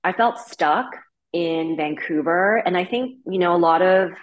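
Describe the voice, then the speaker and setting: A woman in her thirties with a Canadian accent tells her story as an interview guest. Her voice sits low, and she talks in a loose, candid way, leaning on fillers like "like" and "you know.". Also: a little slow, highs cut off. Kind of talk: casual conversational storytelling.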